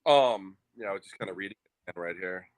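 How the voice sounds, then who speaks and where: A man's voice: low voice, brisk. A Native Hawaiian man talking things through on a podcast, hesitant, with many fillers and restarts. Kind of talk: casual discussion.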